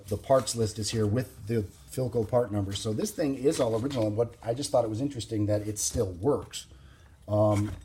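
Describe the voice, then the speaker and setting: Low voiced guy showing off his hobby project, plain spoken n halting, bit of background noise and clicks. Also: warm tone. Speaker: vintage radio hobbyist presenting a demonstration. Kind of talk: informal hands-on demonstration, unscripted.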